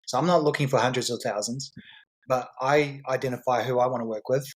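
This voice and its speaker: A man's voice: mid-range voice, brisk. A man as a guest on a podcast, explaining his business in a confident, salesy way with frequent repeats and restarts. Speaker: guest entrepreneur.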